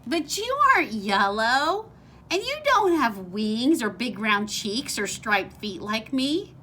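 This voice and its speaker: Woman voicing a high, pleading little bird child. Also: very animated, slow pace, sharp tone, a little hiss or hum, exaggerated voice. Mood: doubtful surprise. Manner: pleading, earnest childlike delivery. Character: lonely little bird child searching for a mother.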